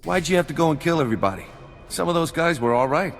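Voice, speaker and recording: Glib, gravelly smuggler in a hall. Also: male voice, fairly high pitch, animated, quick pace. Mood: reproachful. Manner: glib, wheedling sales patter. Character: brazen, greedy male smuggler turned relic counterfeiter. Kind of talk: video game voice acting. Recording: long hall reverb, music behind.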